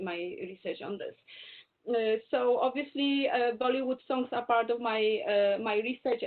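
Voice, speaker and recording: Lady guest speaker, chatty, keeps restarting, tinny call audio. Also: medium pitch. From Poland. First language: German. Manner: chatty, self-correcting, laughs easily. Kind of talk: webinar talk. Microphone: video call.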